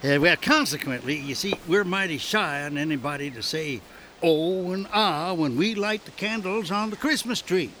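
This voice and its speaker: A man's voice: fairly high pitch, normal speed, bright, forceful tone, a little hiss or hum. An actor playing an exuberant, folksy Old West townsman in a radio drama, with a chuckling, colloquial rustic manner. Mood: persuasive, cheerful.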